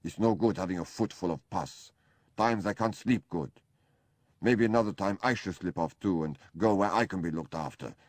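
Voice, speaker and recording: A man's voice: deep voice, normal speed, no echo, voice pushed up. A man reading an audiobook, voicing a crude, sick man who complains bluntly. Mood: complaining. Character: sick, surly, crude male companion.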